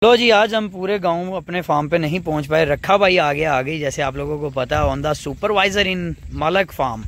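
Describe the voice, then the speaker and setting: A man's voice: fairly high pitch, moderate pace. A man in his thirties with a Punjabi accent, chatting casually to his phone camera while riding outdoors in the rain. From Pakistan. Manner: chatty, mixes Urdu with Punjabi and English phrases. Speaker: vlogger. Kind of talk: casual travel vlog. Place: outdoors on a rural road in rain, riding a vehicle. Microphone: phone selfie camera at arm's length. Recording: loud vehicle and outdoor noise.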